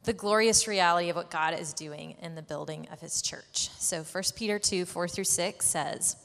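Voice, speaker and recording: A woman sharing an earnest church testimony and reading scripture, reflective and pausing with fillers. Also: fairly low pitch, creaky, fairly bright tone. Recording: echoey hall.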